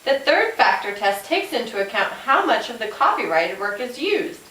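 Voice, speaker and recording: A woman's voice: fairly high pitch, sing-song, normal speed, sharp tone. A woman voicing a helpful police officer puppet, giving a scripted, instructive talk to a class. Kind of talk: scripted educational puppet show. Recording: roomy, distant microphone.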